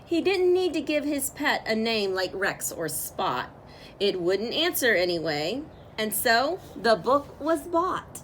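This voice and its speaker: A woman with a fairly high voice reads a children's story aloud. Her phrasing is expressive and measured, as a storyteller's. There is some noise in the recording. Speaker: storyteller reading a picture book aloud.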